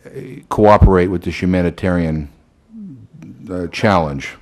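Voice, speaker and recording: Male hearing chairman, very low pitch, halting questioner with frequent restarts. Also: darkish tone. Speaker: committee chairman.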